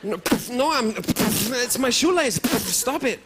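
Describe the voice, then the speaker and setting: A man, performing stand-up comedy for a live audience with lively outbursts. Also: very high-pitched, a little slow, very noisy. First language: German. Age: 30s. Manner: animated, with sudden loud outbursts and put-on voices. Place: stage before a live audience.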